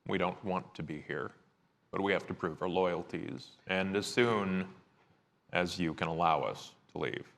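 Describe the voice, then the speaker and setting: Man, deep-leaning pitch, gravelly fry, casual roleplay, live game. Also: unhurried, a bit bright. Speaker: tabletop game player. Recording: live convention show recording.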